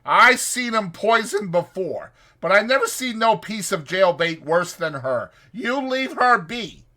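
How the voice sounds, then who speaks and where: A man's voice: high voice, animated, a little slow, bright, forceful tone, voice pushed up, full character voice. A man voicing an irritable, protective ranch hand who scolds brusquely in rural American dialect for a dramatized audiobook. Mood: fierce scolding.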